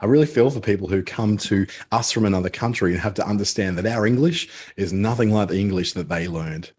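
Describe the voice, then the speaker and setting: Man, Australian accent, lowish voice, husky, clipped word endings, chatty webinar presenter. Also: fairly quick, a little mellow. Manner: chatty, with frequent ums and uhs. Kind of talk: live webinar teaching.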